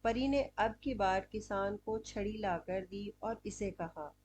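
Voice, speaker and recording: A woman's voice: medium pitch, slow, a little mellow, slight background noise. A woman telling a scripted moral story as narrator of a cartoon.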